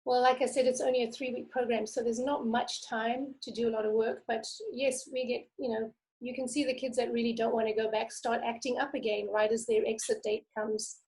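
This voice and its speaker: Soft-spoken woman on a video call, kinda gravelly, mellow, chatty and candid. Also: a little high, flat delivery, fairly quick, few pauses, rough recording. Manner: candid, conversational. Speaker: author. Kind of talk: interview answers and memoir reading.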